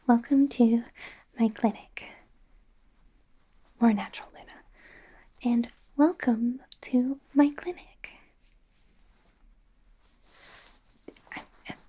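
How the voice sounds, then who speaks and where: A woman playing an anxious, awkward young female werewolf medical student in a soft, breathy, whispered ASMR roleplay, speaking hesitantly with stammers. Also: fairly high pitch. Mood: awkwardly formal. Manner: hesitant, stammering, flustered and gentle.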